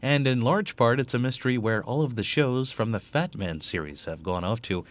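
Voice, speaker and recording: A man hosting a vintage radio program, giving measured, informative background. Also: medium pitch. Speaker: radio host.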